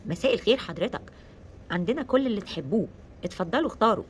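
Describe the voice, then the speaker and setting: A man's voice: high-pitched, lively intonation, rapid-fire. Man acting male shopkeeper, Egyptian accent, polite, obliging, helpful sales patter, children's story, faint background noise. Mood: welcoming. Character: friendly, obliging male pet shop owner. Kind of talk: children's story role-play.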